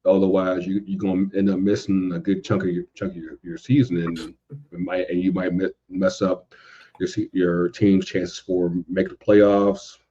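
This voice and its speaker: A man with a low, mellow voice talks casually and emphatically as a podcast host. He is opinionated, repeats himself for stress and swears freely, and the audio is a little dull and lo-fi. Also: flat delivery, normal speed. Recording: dull lo-fi sound.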